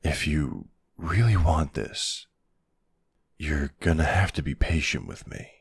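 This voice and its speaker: Man acting as a very deep, gravelly older boyfriend. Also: very animated, takes it slowly, no echo, studio quality, exaggerated voice. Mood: nervous, tender. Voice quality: gravelly, husky. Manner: hesitant, sighing, casual endearments. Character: gruff, protective, tender older man, reluctant lover. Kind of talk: intimate ASMR boyfriend roleplay. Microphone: close, dry microphone.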